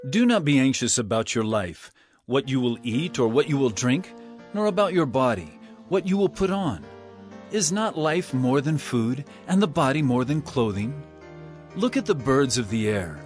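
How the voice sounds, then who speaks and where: A man playing an earnest, solemn male teacher with authority in a dramatized scripture reading, speaking in a measured, emphatic way. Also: mid-range voice. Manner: measured, emphatic, rhetorical questioning. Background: music.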